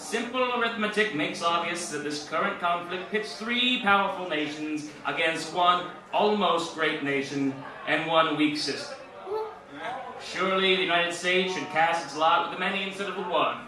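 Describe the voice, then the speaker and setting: A man playing a solemn President giving a formal, measured 1940s radio fireside chat in a satirical sketch. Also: high voice, crowd noise, natural voice. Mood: lofty, persuasive. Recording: echoey old-radio sound, distant.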